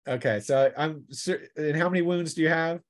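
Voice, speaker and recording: A man's voice: a little high, normal speed. A man refereeing a tabletop role-playing game, talking off the cuff as he narrates the action and works through the rules. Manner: conversational, narrates action between rules talk and arithmetic. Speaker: game master.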